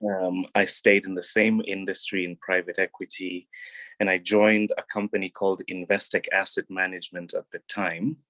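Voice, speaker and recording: A young man from Kenya, a guest on an interview show, talking in a relaxed, reflective way with frequent ums and restarts. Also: low-pitched, moderate pace, no room sound, poor audio. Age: young adult. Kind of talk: casual interview talk.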